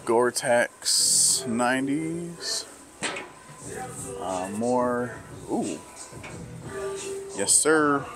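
A man vlogging casually as he browses sneaker stores in a mall, talking slowly and musing to himself with lots of fillers. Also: medium pitch, expressive, music in the background. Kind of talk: casual walk-through commentary.

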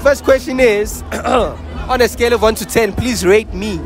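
A man's voice: sits high, unhurried. A young man doing a playful, joking street interview in a lounge bar at night, speaking into a handheld phone. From Portugal. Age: young adult. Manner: playful, joking, laughs often. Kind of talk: casual vox-pop interview. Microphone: handheld phone mic. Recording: loud club music and crowd behind.

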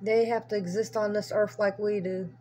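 A woman's voice: medium pitch, level tone, moderate pace, warm tone. Folksy woman chatting over a humming recording. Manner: folksy, rambling, repeats words. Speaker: home video narrator. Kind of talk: casual chat to viewers. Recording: humming noisy amateur recording.

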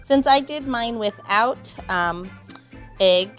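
A woman's voice: fairly high pitch, takes it slowly, fairly bright tone, faint music behind. A woman hosting a podcast in an informal, chatty, conversational style. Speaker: podcast host.

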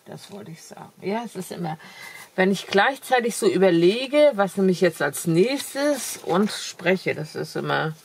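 A woman's voice: medium pitch, animated, a little slow, creaky, breathy edge. A woman with a cold, chatting casually and enthusiastically while showing craft supplies at her desk. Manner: chatty, enthusiastic, many fillers. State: has a cold. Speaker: crafting vlogger. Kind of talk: casual haul video. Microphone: camera over a desk, hands only.

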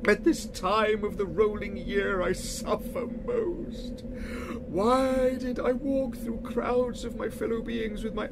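A man voicing a tormented old male ghost dragging chains, with slow, drawn-out wailing and anguished cries, in a noisy audiobook recording. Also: high-pitched, creaky, pitched above the performer's own voice, exaggerated voice. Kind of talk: audiobook reading.

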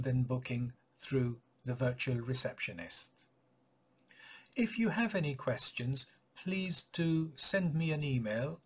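A man in his forties speaks to camera as a clinic practitioner updating patients. His voice is mid-pitched and somewhat husky. He explains things in a measured, courteous way, recorded in a small indoor office. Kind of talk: informational announcement to camera.

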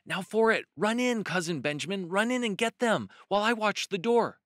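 A bold young male rabbit from a children's storybook, played by a man, pitched far above a grown man's talk. He is bossy and excitable, pushing with loud, urgent commands. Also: normal speed, no echo, studio quality. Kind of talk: children's storybook read-aloud.

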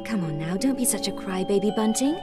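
Animated game mother played by a woman: soothing, playfully teasing, lilting range, music behind. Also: medium pitch, a little fast, close to an everyday voice. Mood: tender. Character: gentle, teasing young mother of small boy. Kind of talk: animated video game voice acting. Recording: background music under the voice.

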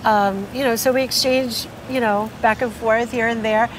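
A woman's voice: on the high side, fairly even intonation, moderate pace. Agreeable middle-aged woman at a marina. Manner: agreeable, chimes in with affirmations. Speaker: vlog co-host. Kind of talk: casual chat. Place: outdoor marina dock. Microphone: fixed camera at a few meters. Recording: outdoor noise behind.

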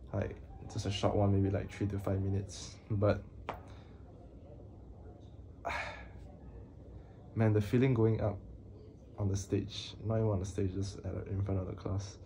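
A young man speaks to his camera in a small room, with a low, mellow voice that stays flat and monotone. He talks haltingly, with many fillers and long pauses. Faint room ambience sits behind him. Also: slight background noise, rough recording. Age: young adult. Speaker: vlogger. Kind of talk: casual talk to camera.

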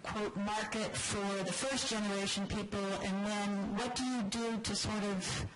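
A woman's voice: medium pitch, a little hiss or hum, poor audio. A woman in the audience asking a long, thoughtful question with some hesitant phrasing. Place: conference room.

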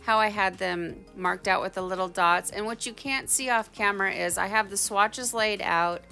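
A woman's voice: medium pitch, moderate pace, vocal fry, sharp tone, soft background music. A woman giving a chatty, informal instructional voice-over as a craft tutorial host. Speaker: tie-dye tutorial host.

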